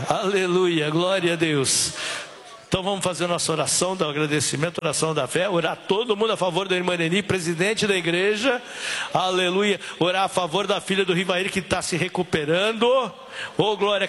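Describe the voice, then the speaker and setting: A middle-aged man, a pastor, leading a church service with fervent, repetitive prayer and call-and-response, his voice hoarse. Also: high voice, sharp tone, slight background noise. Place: church hall.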